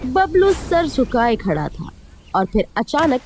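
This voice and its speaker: A woman's voice: sits high, very animated, slow pace, darkish tone, a little hiss or hum. A woman narrating a scripted cartoon moral story as a storyteller.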